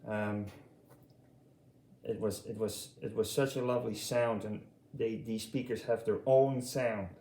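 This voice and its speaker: A middle-aged man from Europe giving a casual, unscripted walkthrough as a hobbyist, speaking haltingly and repeating words. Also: fairly low pitch, fairly even intonation, warm tone. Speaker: audio hobbyist presenting his speaker collection. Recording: echoey room sound.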